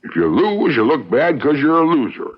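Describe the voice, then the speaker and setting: A husky, insistent folksy frontier friend. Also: male voice, fairly high pitch, big pitch swings, moderate pace. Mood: warning. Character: cautioning, talkative friend of a frontier lawyer, quotation-loving. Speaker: actor. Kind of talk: radio drama acting. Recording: muffled radio drama recording.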